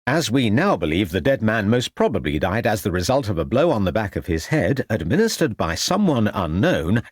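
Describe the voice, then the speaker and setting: A man's voice: lowish voice, sing-song, normal speed, no room sound. A man voicing a polite, dutiful police detective in an audiobook, formal and restrained. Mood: dutiful, unsettled. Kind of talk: audiobook character acting.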